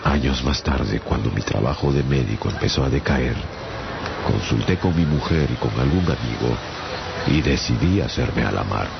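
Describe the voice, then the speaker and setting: An actor playing a seafaring English surgeon who recounts his adventures in measured radio drama narration. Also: extremely low pitch, expressive, vocal fry, exaggerated voice. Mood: measured, reflective. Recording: dull radio production under loud music.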